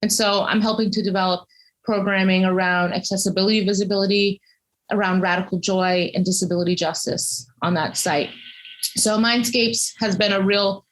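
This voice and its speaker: A woman speaking as an interviewed cultural consultant and academic, giving articulate, measured, detailed explanations in a conversational way. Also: mid-range voice, steady tone, rough recording.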